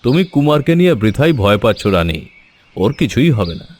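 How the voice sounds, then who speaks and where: A man's voice: mid-range voice, very animated, fairly quick, a little mellow. Man playing a proud, reassuring king. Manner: confident, reassuring. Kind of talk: dramatic cartoon voice acting. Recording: faint noise with chirping birds. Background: birdsong.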